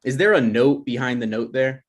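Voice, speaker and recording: A man's voice: medium pitch, normal speed, close to an everyday voice. A man playing an insecure, defensive boyfriend in his twenties in a comedy script table read. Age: young adult.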